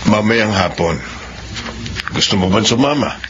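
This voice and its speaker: A man's voice: lowish voice, expressive, a little slow. A man playing a caring, middle-aged father and protective uncle in a Filipino radio drama. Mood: sorrowful. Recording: muffled audio with clear background noise.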